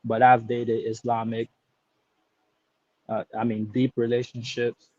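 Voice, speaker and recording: A man in his thirties, born in Haiti, speaks casually on a call, pausing and restarting often and loosening into slang as he goes. His voice sits at an ordinary pitch. The sound is dry and slightly muffled, lo-fi. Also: level tone, mellow, no room sound. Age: 30s. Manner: hesitant, many restarts and fillers, laid-back slang. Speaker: caller. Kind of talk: casual live-stream call-in chat.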